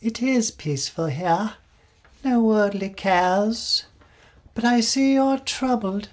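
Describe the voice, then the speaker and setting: A woman voicing a gentle female spirit who speaks through a medium, soft and calm, in old-time radio drama style. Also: medium pitch, takes it slowly. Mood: serene.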